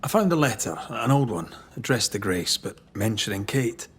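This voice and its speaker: Blunt, animated colonial ghost hunter. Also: male voice, on the low side, moderate pace, slightly rough. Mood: businesslike. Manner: wry, direct, archaic phrasing. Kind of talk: dramatic game dialogue.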